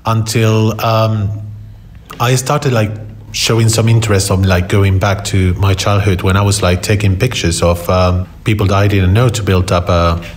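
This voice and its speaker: Low-voiced Spanish man telling his story. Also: little pitch movement, normal speed, a little hiss or hum. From Spain. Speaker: interview guest, photographer. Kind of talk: personal storytelling in conversation.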